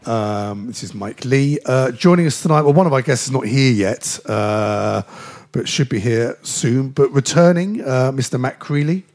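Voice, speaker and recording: A man hosting a football podcast, his voice a bit croaky today, friendly and full of fillers. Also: medium pitch, moderate pace, few pauses, darkish tone. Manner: friendly, hesitant with many fillers. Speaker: podcast host. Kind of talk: casual football podcast chat.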